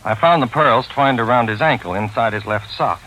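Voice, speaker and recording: An actor playing a wry, pompous gentleman sleuth in a 1940s radio drama, with formal, genteel diction. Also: mid-range voice, brisk, natural voice, poor audio. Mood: measured, wry. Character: wry, pompous gentleman sleuth narrating his own caper. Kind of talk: 1940s radio drama acting, first-person narration. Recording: 1940s radio recording with music behind.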